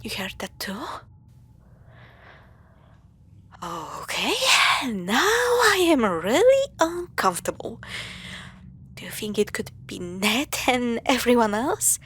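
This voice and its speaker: A woman playing a caring, playful young girlfriend in a spooky audio roleplay, talking straight to her partner in halting conversational phrases with sighs. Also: fairly high pitch, very animated, a little hiss or hum. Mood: uneasy. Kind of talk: spoken roleplay to the listener.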